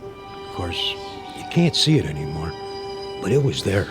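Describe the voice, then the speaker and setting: A man's voice: deep voice, big pitch swings, unhurried, music in the background. An older American man acting in a radio drama as a nostalgic, rambling old baseball fan with a folksy manner. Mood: folksy, reminiscing.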